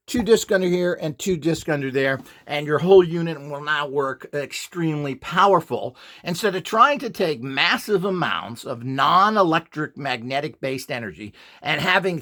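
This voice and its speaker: A man's voice: on the high side, expressive, moderate pace, few pauses, fairly bright tone. A man giving an informal, unscripted demonstration as an instructor, opinionated and dismissive in his way of talking.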